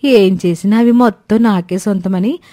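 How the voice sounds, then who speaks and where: A woman's voice: mid-range voice, normal speed, mellow, no room sound, studio quality, natural voice. A woman voicing a greedy young daughter-in-law, gushing and calculating, in a cartoon moral story. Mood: suspicious, smug.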